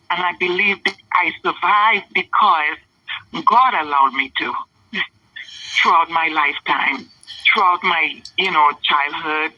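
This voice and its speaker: An older woman from Trinidad, an author answering interview questions slowly, with many ums and restarts. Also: on the low side, slightly rough, no echo. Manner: hesitant, many ums and restarts, soft laughs. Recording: distant, dull phone-line sound.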